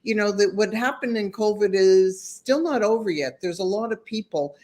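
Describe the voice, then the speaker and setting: A woman's voice: mid-range voice, normal speed. Effusive woman hosting a live chat. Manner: warm, effusive, rambling with fillers. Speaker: host. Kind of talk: casual live-stream interview.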